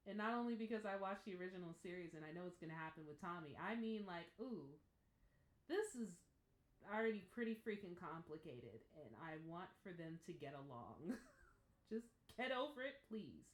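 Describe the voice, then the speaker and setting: A woman giving a casual, expressive spoken reaction and review, emphatic with thoughtful pauses. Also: fairly low pitch. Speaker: reaction show host. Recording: echoey room.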